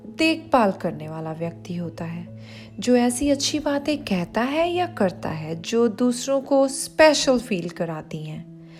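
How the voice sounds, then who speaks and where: A woman reads aloud for children in a high, very lively voice. She takes her time, explaining things directly to the young listener as a storyteller would. Music plays underneath her narration. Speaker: storyteller reading a children's picture book aloud.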